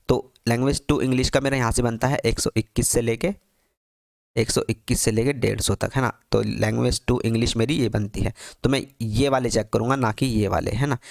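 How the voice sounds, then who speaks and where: A man with a gravelly edge to his voice explains an answer key step by step. He keeps asking a question aloud, answers it himself and repeats the point. He is recorded close up on a dry, deadened mic. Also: medium pitch, no room sound. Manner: repetitive, rhetorical questions with quick answers. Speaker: exam tutor. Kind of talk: screen-walkthrough tutorial.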